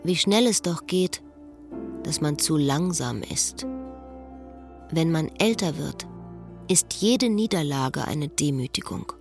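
A woman acting as a reflective schoolteacher, telling her own story in measured, literary radio drama monologue. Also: sits low, expressive, gravelly, music in the background, exaggerated voice. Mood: pensive.

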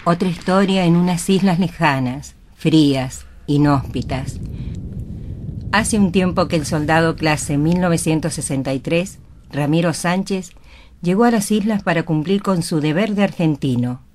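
A woman giving a solemn, literary narration for a radio drama in a measured way. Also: fairly low pitch, a little mellow, slight background noise, natural voice. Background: faint music.

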